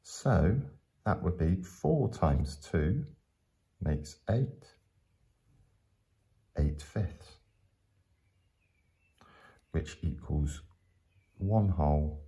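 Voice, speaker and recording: Male teacher, dark mellow tone, gravelly creak, patient step-by-step explaining. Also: very deep voice. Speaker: maths teacher.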